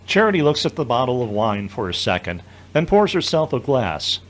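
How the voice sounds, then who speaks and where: A man's voice: medium pitch, big pitch swings, normal speed. A man narrating an audio drama from a script, describing each scene as storyteller.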